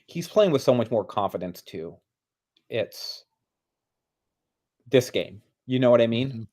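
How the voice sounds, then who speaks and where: Man, sports co-host, casual analysis, medium pitch, conversational and explanatory. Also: expressive, a little slow, a little mellow. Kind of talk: casual analytical game commentary.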